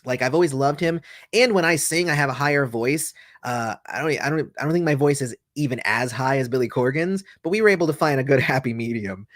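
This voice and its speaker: A man's voice: mid-range voice, a bit bright, no room sound, studio quality. A man hosting a casual livestream, reading viewer comments aloud and rambling through answers with many fillers and restarts. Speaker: host answering livestream chat.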